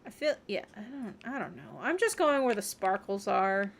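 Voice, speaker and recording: A woman's voice: medium pitch, very animated, moderate pace, slight background noise. A woman livestreamer giving casual gameplay commentary, muttering questions and reactions to herself as she plays. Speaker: video game streamer.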